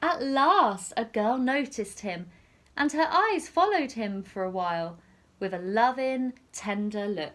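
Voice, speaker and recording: A woman teacher reading a picture story aloud to young children in an expressive, deliberate storytelling manner. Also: fairly high pitch.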